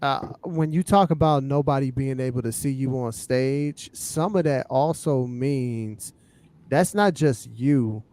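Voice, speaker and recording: A man on a podcast panel talking casually about hip hop, explaining his points in a measured way and often checking that the listener follows. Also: mid-range voice, a little mellow.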